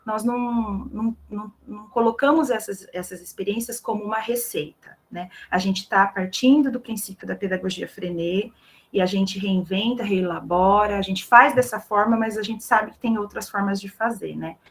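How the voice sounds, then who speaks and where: A woman's voice: medium pitch, normal speed, rough recording. A woman presenting her co-written article at an online book launch, hesitant and dropping frequent tag questions. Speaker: co-author of an article.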